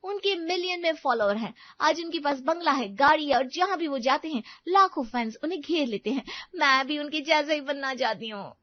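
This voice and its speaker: A woman's voice: sits high, lively intonation, normal speed, hardly any pauses, no echo. A woman voicing a chatty, ambitious young bride who vlogs to camera in a cartoon story. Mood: bubbly. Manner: bubbly, talking to camera. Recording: dull dry recording.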